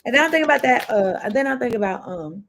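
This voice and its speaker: A woman's voice: a little high, animated, racing, fairly bright tone, a little hiss or hum. Rambling, chatty woman tarot reader. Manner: rambling, free-associating and chatty; swears casually. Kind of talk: casual tarot reading.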